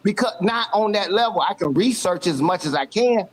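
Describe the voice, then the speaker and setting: A man's voice: high-pitched, lively intonation, fairly quick. Argumentative man in his fifties. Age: 50s. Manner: argumentative, talks over others. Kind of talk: casual sports debate talk.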